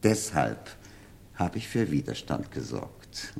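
An actor playing a smug, domineering small-town millionaire gangster in a 1970s German radio drama, pompous and self-satisfied. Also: male voice, rumbling low, big pitch swings, slow pace, vocal fry, a little mellow, exaggerated voice.

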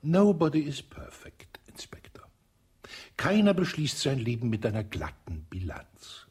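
An actor playing a sly colonel under police questioning in a radio play, with a husky voice, evasive and dryly sarcastic, often dropping to a whisper. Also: male voice, medium pitch, wildly swooping pitch, a little slow, darkish tone, exaggerated voice. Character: sly elderly male colonel, suspected wife-killer.

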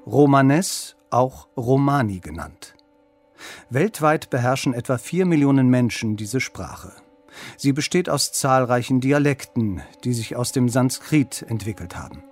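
A man with a mid-pitched voice reads an informative radio documentary script. His delivery is clear and steady. Faint music plays quietly behind him. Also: measured pace. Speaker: documentary narrator.

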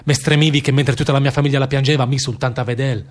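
A man's voice: mid-range voice, steady tone, quick pace, close to an everyday voice. An actor playing a naive, stubborn working man with a Lombard accent, telling things in rambling, repetitive dialect in a theatre drama. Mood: confessional. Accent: northern Italian, Lombard. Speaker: stage actor in a radio theatre play.

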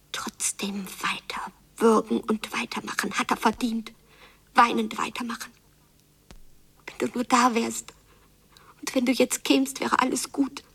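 An actress playing a wounded, secretive young daughter who longs and seethes in a radio drama, often hushed and drawing out her phrases. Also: a little high, flat delivery, slow pace, creaky, pauses often, breathy edge, heavily acted. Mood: desperate pleading. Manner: hushed, echoing repeated phrases, drawn out. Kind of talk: literary radio drama, whispered inner monologue.

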